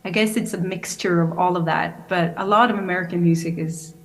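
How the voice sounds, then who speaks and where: A woman from Sweden, a singer-songwriter guest, talking over a video call in a relaxed, hesitant way with many fillers. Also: on the low side, a little fast. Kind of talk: remote interview.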